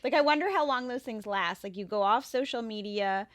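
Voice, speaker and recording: A young woman guest on a podcast, telling stories in an animated way and acting out what other people said. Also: on the high side, fairly quick, dry recording, studio quality. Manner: animated storyteller who acts out quotes. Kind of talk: casual chat.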